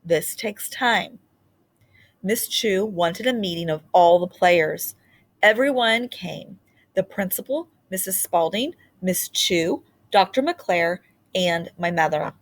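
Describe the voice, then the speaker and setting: A woman's voice: fairly low pitch, animated, slow. A woman reading a children's story aloud as a storybook narrator. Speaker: storybook reader.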